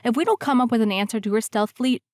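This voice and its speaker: An actress playing a blunt, hard-pressed military officer in a sci-fi audio drama. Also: female voice, fairly high pitch, fast talker, a little mellow, dry recording, clean studio sound, natural voice. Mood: grim, urgent.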